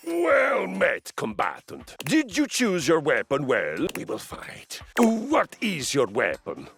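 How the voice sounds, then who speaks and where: An actor playing a boastful, swaggering male warrior who coaches fitness, in a booming, theatrical game voice. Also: huge pitch swings, takes it slowly, soft background music, full character voice. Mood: grandiose. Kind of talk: game voice acting.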